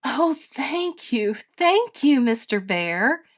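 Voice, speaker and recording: A woman's voice: high-pitched, big pitch swings, slow pace, voice pushed up, full character voice. A woman voicing an emotional, lonely little girl from a storybook in an earnest, childlike manner. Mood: grateful, pleading.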